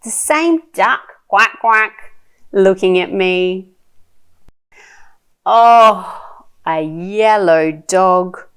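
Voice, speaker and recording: A woman playing a delighted, playful picture-book reader for a baby, with sing-song, exaggerated, drawn-out words. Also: medium pitch, clean tone. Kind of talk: picture-book read-aloud for babies.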